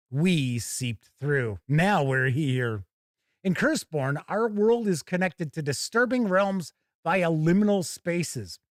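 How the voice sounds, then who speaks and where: A man hosts a gaming livestream in a fairly high voice with very lively, swinging intonation. He moves between casual chat and reading news, with folksy, wry asides. The sound is dry, with no room echo. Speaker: host of a live tabletop gaming news show.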